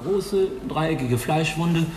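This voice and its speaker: A man's voice: a little high, slow, darkish tone, soft background music. An actor playing a big old male pathologist who dictates an autopsy report in a dry, clinical manner, in a radio drama. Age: older. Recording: echoey tiled room, faint hiss.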